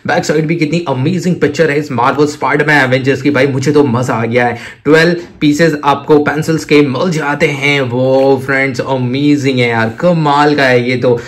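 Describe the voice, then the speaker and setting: An excitable man, presenting toys hands-on to viewers in an enthusiastic, repetitive way full of amazed exclamations. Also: mid-range voice, moderate pace, hardly any pauses. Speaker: toy review presenter. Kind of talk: enthusiastic hands-on toy showcase, addressing viewers as friends.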